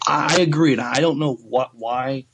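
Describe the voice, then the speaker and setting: A man co-hosting a podcast, reading news and discussing it casually in a hesitant, halting way. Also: medium pitch, fairly bright tone. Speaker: podcast co-host.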